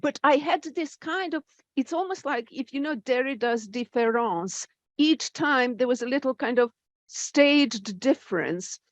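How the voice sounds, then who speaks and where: A middle-aged woman, a native Serbian speaker, giving a measured, reflective talk on a literary panel. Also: high-pitched, expressive, dry recording. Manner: measured, reflective, with occasional hesitations.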